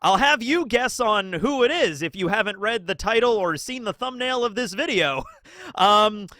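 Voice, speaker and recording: Male commentary host, high-pitched and chatty, fighting a cold, with a scratchy, sniffly voice. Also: moderate pace, dry recording. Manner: chatty, excitable, asks rhetorical questions. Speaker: channel host. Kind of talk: casual commentary video.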